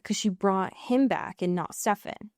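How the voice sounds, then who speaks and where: A woman's voice: mid-range voice, a little slow, a little mellow, dry recording, studio quality. A woman podcast co-host chatting casually as she recaps a show, acting out bits of dialogue and swearing freely.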